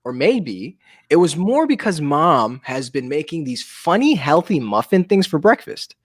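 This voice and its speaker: A man's voice: on the high side, big pitch swings, measured pace, dry recording, clean studio sound. A man reading a children's book aloud as a storyteller, with jokey, informal asides. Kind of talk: animated read-aloud with casual chat.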